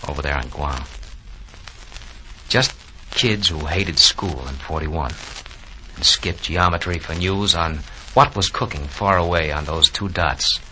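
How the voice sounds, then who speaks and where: An actor playing a dead young Marine who looks back wistfully and wryly, in 1940s radio verse style. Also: male voice, rumbling low, pushed and bright, full character voice. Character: dead young Marine of Wake, reflective, wry. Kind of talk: 1940s radio acting, blank verse monologue. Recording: noisy 1940s radio recording.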